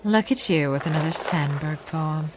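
A woman playing a plucky, brisk young society heroine in a radio drama parody, sassy and full of period slang. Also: sits low, noisy background, natural voice. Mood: feisty. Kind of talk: old-time radio drama parody acting.

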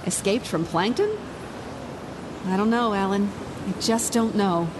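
An actress playing a calm, decisive female captain of an undersea rescue team in an audio drama. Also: mid-range voice, big-room sound, noisy background, natural voice. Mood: brisk. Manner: brisk, commanding, lightly wry.

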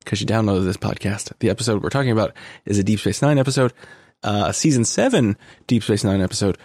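A man's voice: on the low side, quick pace, a little mellow, no room sound. A man hosting a podcast in casual interview chat, rambling and wry as he interrupts himself. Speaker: podcast host.

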